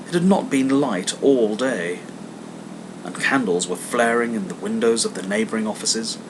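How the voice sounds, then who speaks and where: A man narrating a classic story theatrically, with dramatic, emphatic phrasing and steady background noise. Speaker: audiobook reader. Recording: clear background noise, low rumble.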